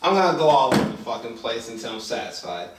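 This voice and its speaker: A man's voice: medium pitch, fast, noisy background. Guy doing an off the cuff movie review, rambles and curses, echoey, far from the mic. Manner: rambling, excitable, profane. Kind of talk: casual unscripted chat review. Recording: roomy echo, distant mic.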